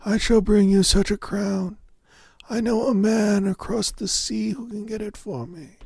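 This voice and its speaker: Grand old fairy tale merchant dad, real creaky, slow and stately, pitched way up. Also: male voice, darkish tone, no room sound, full character voice. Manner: grand, measured, archaic speech. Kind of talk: storybook character voice.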